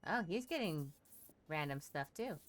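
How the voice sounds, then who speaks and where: A woman's voice: sits low, expressive, a little slow, slight background noise. A woman giving casual, chatty reaction commentary while playing a game, with theatrical asides.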